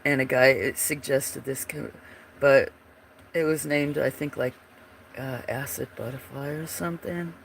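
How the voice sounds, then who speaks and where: A man with a froggy, gravelly voice talks slowly and loosely, drifting in and out as he turns away from the mic. A faint hiss sits under the home recording. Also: mid-range voice, fairly even intonation, a bit bright. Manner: slow, meandering, trailing off while searching. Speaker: livestream host showing his drawings. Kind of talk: casual rambling commentary.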